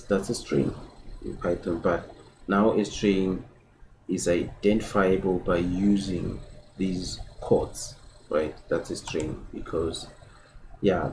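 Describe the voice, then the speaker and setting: Slow, deep-voiced young man teaching code. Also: darkish tone, poor audio. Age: 20s. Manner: repeats words and adds "right?" often. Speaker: programming tutor. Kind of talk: casual screen-recorded coding tutorial. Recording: keyboard typing and room noise behind.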